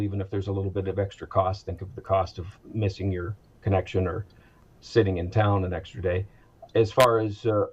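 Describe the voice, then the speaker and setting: American male expert guest, husky and measured, on slightly muffled audio. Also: on the low side, a little mellow. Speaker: aviation executive, interview guest. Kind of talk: explanatory interview answers.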